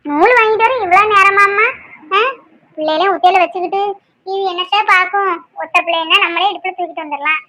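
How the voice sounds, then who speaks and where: A woman's voice: extremely high pitch, a little fast, bright, forceful tone, no echo. A woman acting a feisty, protective village housewife in a drama, blunt and chatty. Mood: worried muttering.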